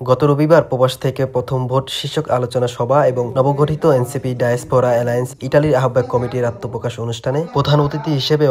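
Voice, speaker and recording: A man's voice: medium pitch, darkish tone. A man with a Bangladeshi accent narrating a scripted television news report.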